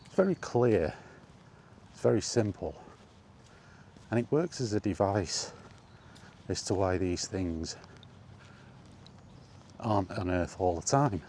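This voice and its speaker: A man's voice: low voice, animated, takes it slowly, long pauses, darkish tone, slight background noise. A British man giving a casual, rambling, blunt and exasperated monologue as a film vlogger.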